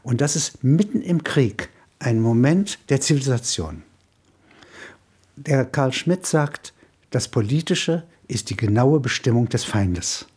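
Older man explaining, husky voice. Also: medium pitch, expressive, a little slow, a little mellow. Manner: discursive, explanatory, punctuated with short confirming tags. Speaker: author. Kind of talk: reflective interview-style commentary.

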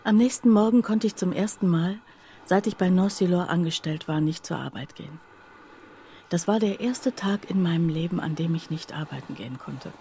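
An actress playing a troubled woman who recounts her own breakdown in a radio play, with a husky, breathy voice. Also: on the low side, warm tone, slight background noise, close to an everyday voice. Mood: sorrowful. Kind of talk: scripted first-person radio play narration.

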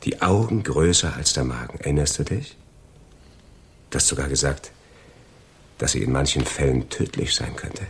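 A cold taunting crook in a radio thriller, super low husky voice, swings around a lot. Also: male voice, expressive, slight background noise. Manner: taunting, deliberate, menacing. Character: cold, gloating male criminal double-crossing his accomplices. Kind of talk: German radio crime drama acting.

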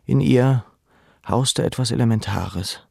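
An actor playing a former camp inmate who narrates a literary memoir in a calm, measured, matter-of-fact way. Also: male voice, medium pitch, creaky, breathy edge, dry recording, clean studio sound, exaggerated voice. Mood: sober, reflective. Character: male former camp inmate, sober, reflective storyteller. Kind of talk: literary first-person narration.

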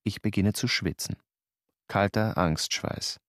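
A man narrating a first-person radio drama in a quiet, inward, understated way. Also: on the low side, takes it slowly, no echo, studio quality. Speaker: narrator and lead in a radio play.

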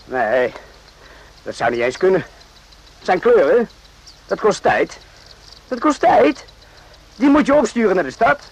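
A man's voice: high voice, sing-song, a little slow, long pauses, exaggerated voice, low-quality recording. A man acting in a radio drama as an evasive, boastful, naive army private, hesitant and repeating himself. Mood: defensive.

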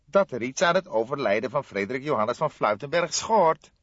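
A man's voice: mid-range voice, lively intonation, fairly quick, dry recording, highs cut off, pitched above the performer's own voice, natural voice. A man voicing an eager young police detective in an audiobook, an inquisitive and slightly teasing junior partner.

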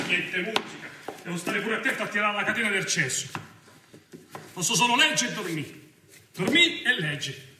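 An actor playing a restless, foul-mouthed young fugitive in a theatrical monologue, with a Roman accent and a colloquial manner. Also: male voice, sits high, exaggerated voice. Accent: Roman Italian. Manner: colloquial, swearing, swinging from brooding to excited play-acting. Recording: roomy, distant mic with faint noise.

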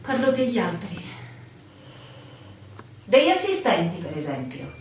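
A woman's voice: medium pitch, huge pitch swings, a little slow, slight background noise. Anguished woman in cavernous echo. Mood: anxious. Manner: impassioned, plaintive. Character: anguished, devoted young woman lover, fearful and persecuted. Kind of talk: radio drama acting. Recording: echoey, distant, music behind.

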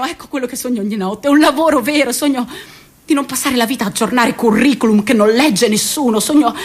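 A woman playing a restless, defiant, jobless thirty-year-old scooter rider in a radio theatre monologue, halting and agitated, with a husky voice. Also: a little high. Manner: halting, repetitive, agitated, swinging between murmurs and outcries.

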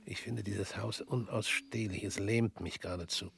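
An actor in a radio drama playing a stern male revolutionary who speaks in measured, pointed lines and often drops to a hushed whisper. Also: fairly low pitch, fairly even intonation, gravelly, breathy edge, heavily acted. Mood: probing. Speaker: revolutionary visitor.

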